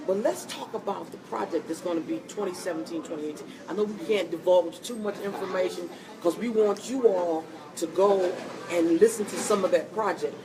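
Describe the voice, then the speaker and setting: A woman hosting an interview in a coffee shop, animated and preachy, encouraging her guest. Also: on the low side, brisk. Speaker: host. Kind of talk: interview chat. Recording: distant mic in busy cafe. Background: cafe crowd chatter.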